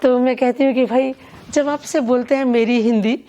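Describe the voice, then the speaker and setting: An older woman being interviewed as a poet and event organiser, speaking in a measured, earnest, deliberate way. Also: high voice, fairly even intonation, mellow, dull audio. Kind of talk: reflective conversational interview.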